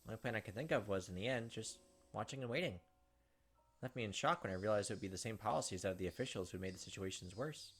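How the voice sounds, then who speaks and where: A let's play host reads a visual novel aloud at a brisk pace, slipping into casual, sympathetic reactions. Soft game music plays underneath. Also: mid-range voice, slightly rough.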